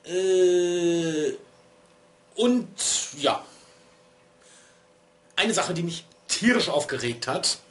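A man's voice: high voice, expressive, drawn-out words, pauses often. A man in his thirties vlogging from a small room at home. He rambles casually and pokes fun at himself, and he sounds a little stuffy from a cold. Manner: chatty, digressive, self-deprecating. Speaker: vlogger and streamer. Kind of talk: casual rambling vlog talk. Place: small room at home, lit by LED strips.

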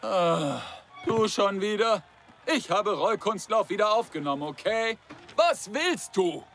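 An actor playing a showy, grumpy middle-aged sports announcer in a children's cartoon, projecting emphatically and easily exasperated. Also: male voice, high-pitched, lively intonation, unhurried, a bit bright, a little hiss or hum, heavily acted. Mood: irritated. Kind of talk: cartoon sports commentary acting. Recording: music behind the voice.

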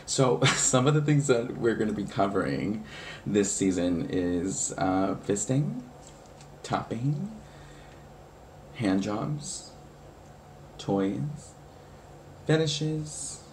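A man's voice: on the low side, expressive, drawn-out words, pauses often, a little mellow, slight background noise. Casual talk show host, man in his thirties. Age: 30s. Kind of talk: casual chat and talk to viewers.

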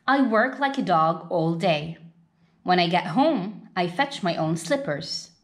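A woman's voice: fairly low pitch, lively intonation, measured pace. A woman voicing a proud, independent cartoon dog from a picture book, self-satisfied, bossy and affectionate. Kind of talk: children's picture-book read-aloud.

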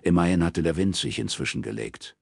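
Male narrator, very low pitch, slightly husky, scripted literary reading, dry close sound. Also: sing-song, brisk, darkish tone, no echo. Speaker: audiobook narrator.

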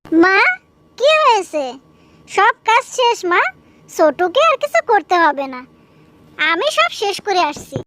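A woman voicing a gentle, dutiful elder daughter-in-law in a cartoon, speaking politely and placatingly. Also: extremely high pitch, very animated, a little slow, pauses often, a little hiss or hum, heavily acted. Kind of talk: cartoon dub acting.

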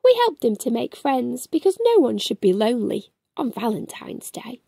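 A woman voicing an excited, kind young boy in a storybook, eager and bubbly. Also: fairly high pitch, moderate pace, gravelly, husky, no echo, studio quality, exaggerated voice.

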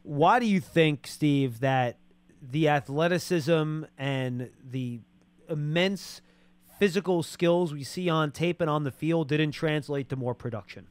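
A man's voice: on the high side, unhurried, clean tone, no room sound. A man in his forties hosting a conversational sports interview at a close studio microphone. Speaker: sports talk show host. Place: small indoor podcast studio. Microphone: close boom studio microphone.

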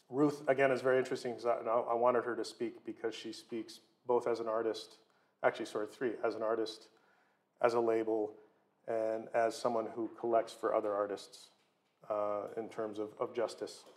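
Middle-aged man, even-toned informal lecturer. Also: on the low side, normal speed. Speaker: guest lecturer, record label co-owner. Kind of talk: informal lecture, introducing speakers. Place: wood-panelled lecture hall, seated at desk.

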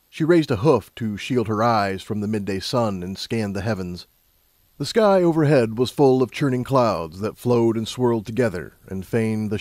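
A male narrator reads a scripted story in a fairly low voice. His delivery is measured and dramatic, and the recording is dry with little room sound. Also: a little mellow, dry recording. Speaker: audiobook narrator.

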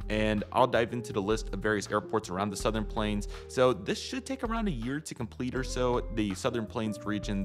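Deep male voice, American accent, upbeat conversational hobby host, casual vlog narration, faint background music. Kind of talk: casual scripted-outline vlog voice-over. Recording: music behind the voice.

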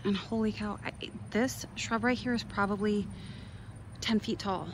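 A woman's voice: medium pitch, fairly even intonation, unhurried. A woman chatting casually and off the cuff as she gives a garden tour, wandering between exclamatory asides. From Minnesota, United States. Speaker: gardening vlogger. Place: outdoors in a garden. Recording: noisy outdoor handheld recording. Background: clear outdoor ambient noise.

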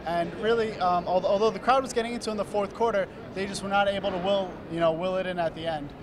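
A young man with a higher-pitched voice co-hosts a basketball recap, rattling off stats and tossing questions to his partner. He holds a mic courtside in a large arena with loud noise around. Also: fairly even intonation, fast talker. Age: young adult. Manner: stat-heavy, asks follow-up questions. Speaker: student sports co-host. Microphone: handheld mic.

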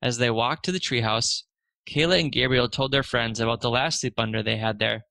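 A man's voice: medium pitch, a little fast, creaky, pushed and bright, dry recording. A man narrating a children's storybook aloud, expressively lifting his voice for the dialogue.

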